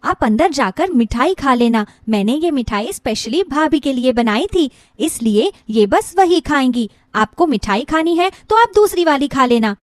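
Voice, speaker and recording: A woman's voice: high voice, lively intonation, normal speed, no echo, studio quality. A woman voicing a cheerful, chatty, affectionate young sister-in-law in a playful, eager way for a moral story. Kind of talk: animated Hindi moral-story character voice.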